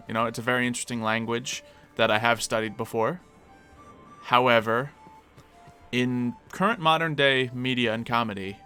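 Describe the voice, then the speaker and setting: Bright-voiced chatty streamer man. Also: medium pitch. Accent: slight Philadelphia. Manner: chatty, musing aloud, quick to laugh. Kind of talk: casual live-stream chat while gaming.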